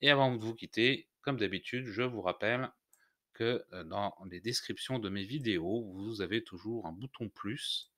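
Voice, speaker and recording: A man narrating an unscripted software tutorial, explaining step by step in a hesitant way. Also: lowish voice, moderate pace, dry recording, clean studio sound. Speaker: tutorial host.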